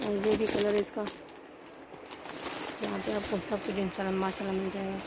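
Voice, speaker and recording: A woman's voice: mid-range voice, monotone, a little fast. A woman from Pakistan vlogging as she walks through a market shop, upbeat and repeating prices for her viewers. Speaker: shopping vlogger. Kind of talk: casual walkthrough vlog. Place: crowded indoor market shop. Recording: loud market noise.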